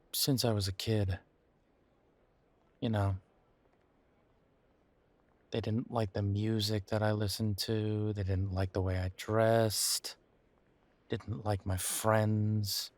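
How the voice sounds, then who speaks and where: Low, slow-talking wry boyfriend played by a man. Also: steady tone, no room sound. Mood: weary, bitter. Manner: hesitant, wry, casual, with trailing pauses. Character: weary, wry male werewolf boyfriend estranged from his family. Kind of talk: intimate roleplay audio drama, conversational monologue.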